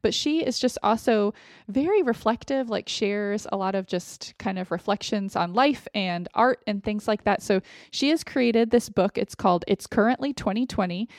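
A woman's voice: medium pitch, moderate pace, darkish tone, dry recording. Young female podcast co-host, mid-range voice, casual chat, dry sound.

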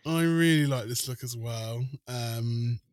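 A man's voice: medium pitch, takes it slowly, no room sound, studio quality. A man co-hosting a podcast, giving casual, hesitant opinions on fashion. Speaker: podcast co-host. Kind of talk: casual chat, fashion commentary.

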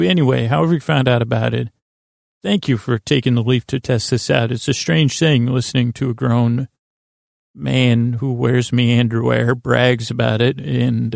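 A man with a creaky voice hosting a podcast, delivering a meandering, halting, self-deprecating bedtime monologue full of digressions and pauses. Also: medium pitch, a little mellow, no room sound, studio quality. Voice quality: creaky, gravelly. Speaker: podcast host.

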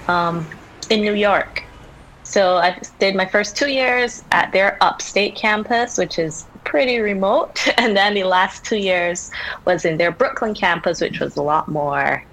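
A woman's voice: medium pitch, takes it slowly, fairly bright tone, poor audio. A young woman from Barbados, a visual artist and podcast guest, talking casually and thoughtfully with an easy laugh. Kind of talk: casual conversation. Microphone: remote recording from home setup.

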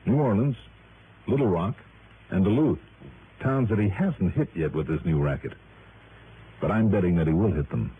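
An actor playing a sharp, wry insurance investigator in a vintage radio detective drama, clipped and businesslike. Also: male voice, deep voice, expressive, moderate pace, pauses often. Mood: eager, decisive. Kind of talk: 1950s-60s radio detective drama acting. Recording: noisy, tinny vintage radio recording.

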